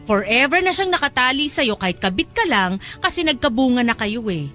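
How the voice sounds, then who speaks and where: Animated, fairly high actress as middle-aged mother, scheming, coaxing, pushy, radio-style drama. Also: a little fast, faint music behind, heavily acted. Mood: coaxing, sly. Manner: coaxing, insistent.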